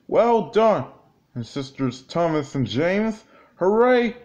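A man reads a children's story in a high voice, taking his time between halting phrases. His pitch jumps around in an animated, exclamatory read-along style. The recording is dull, with faint noise behind it. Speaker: storyteller reading a homemade children's book.

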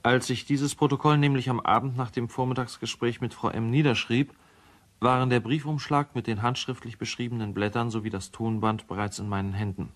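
An actor playing a careful lawyer who gives a formal, factual statement in a radio play. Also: male voice, mid-range voice, steady tone, brisk, close to an everyday voice. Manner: formal, dry, precise.

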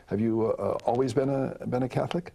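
Older male priest host, genial and chatty; gravelly, husky voice. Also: mid-range voice, fairly even intonation, brisk, mellow. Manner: genial, chatty, laughs easily. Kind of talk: television interview. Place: studio set, indoors.

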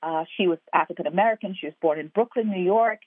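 A woman's voice: on the low side, quick pace, slightly rough, no room sound. A woman from Guyana talks earnestly about her work, stacking up her thoughts in repeated phrases. Her voice comes through a tinny telephone line. The sound is dry and close. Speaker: actor and playwright guest. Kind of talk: interview over the phone.

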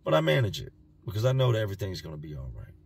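A man in his thirties with a raspy voice, vlogging bluntly from a parked car. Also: sits low, sing-song, very fast. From Virginia, US. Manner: blunt, plain-spoken street talk. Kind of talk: casual talking-head vlog. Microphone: phone camera at arm's length.

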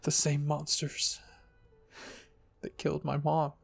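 A man voicing an earnest, protective teenage boy soldier in a story reading, with a halting, sincere manner and a husky voice. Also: a little high, monotone, soft background music, heavily acted. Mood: pained.

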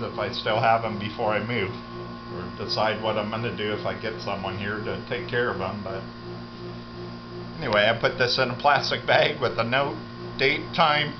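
Middle-aged American man, casual rambling vlog, matter-of-fact, muffled lo-fi sound, prominent music behind. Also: mid-range voice. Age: 50s. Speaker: vlogger, farm resident. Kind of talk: casual handheld walk-through commentary. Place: indoors, cluttered concrete basement. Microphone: handheld camera at arm's length. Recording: dull lo-fi camera audio, loud background sound. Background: loud continuous radio playing.

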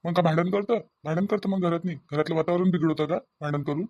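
A man's voice: fairly high pitch, fast talker, darkish tone, dry recording, dull audio, lightly acted. A man voicing an easygoing peacemaking father-in-law in a comedy cartoon, with a Varhadi Marathi accent and repetitive, pleading phrasing. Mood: placating. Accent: Varhadi Marathi (Vidarbha).

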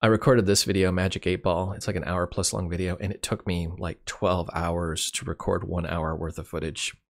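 Hoarse low-voiced man, rambling vlog. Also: level tone, moderate pace. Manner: candid, rambling, wry. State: hoarse, losing his voice. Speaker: YouTube channel host. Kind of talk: casual year-in-review monologue.

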